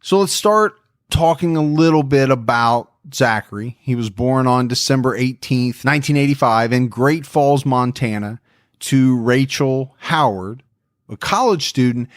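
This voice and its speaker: A man hosting a true crime podcast, telling the story in a relaxed, friendly way and chatting with his co-host. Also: mid-range voice, no room sound, studio quality.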